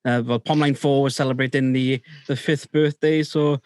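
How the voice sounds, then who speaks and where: A man's voice: medium pitch, fairly even intonation, normal speed, a little mellow, no room sound, studio quality. A man hosting a casual group video call podcast, talking hesitantly with many ums and a playful tone. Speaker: podcast host.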